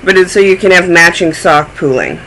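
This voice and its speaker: Male podcast host, a touch phlegmy, chatty and self-mocking, with a sharp, bright tone. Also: sits high, sing-song, moderate pace, slight background noise, poor audio. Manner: chatty, rambling, self-deprecating. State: a little phlegmy. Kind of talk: casual chat.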